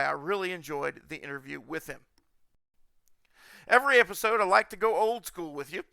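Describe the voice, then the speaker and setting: A man's voice: sits high, gravelly, bright, forceful tone, clean tone, no echo. A man hosting a podcast, talking casually and informally. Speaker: podcast host. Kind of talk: casual podcast chat and commentary.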